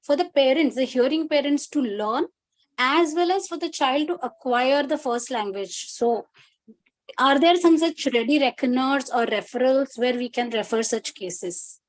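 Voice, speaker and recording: An Indian-accented woman with a very high voice asks a question on a video call. She speaks politely and deliberately, with formal courtesy. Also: low-quality recording. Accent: Indian English. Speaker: webinar participant asking a question.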